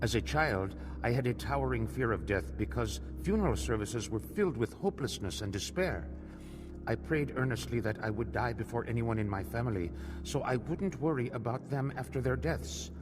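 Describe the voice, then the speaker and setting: A man's voice: mid-range voice, expressive, normal speed, in a large hall, noisy background, lightly acted. An actor playing an earnest, defiant Iranian man in a radio drama, at times narrating his own story. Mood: reflective. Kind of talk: radio drama acting and first-person narration.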